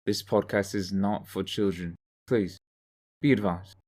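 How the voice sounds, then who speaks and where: Low-voiced man announcer. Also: steady tone, measured pace, a little mellow. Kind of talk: scripted content warning.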